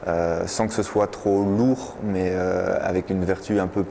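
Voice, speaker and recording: A man's voice: low voice, fairly even intonation, measured pace, gravelly, warm tone. A man explaining a project as its coordinator, hesitantly and without a script, searching for his words. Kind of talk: unscripted explanatory talk. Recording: noticeable ambient background noise.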